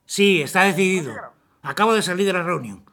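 Brief: clipped, commanding colonel, high and gravelly voice, music underneath. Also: male voice, a little fast, sharp tone. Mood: resolute. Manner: curt, commanding. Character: hurried army colonel deserting his post. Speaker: Colonel Villalba. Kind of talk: radio drama acting.

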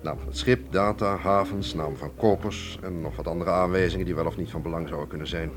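A man's voice: low-pitched, steady tone, brisk, over music, lightly acted. An actor in a Dutch radio play playing a shrewd, composed Russian museum man who speaks formally and persuasively. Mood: measured, confident.

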